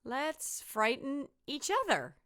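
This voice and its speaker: Little skeleton lad for a storybook played by a woman: raised pitch, eager, playful mischief. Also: very animated, takes it slowly, a bit bright, no room sound, studio quality, full character voice. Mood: mischievous.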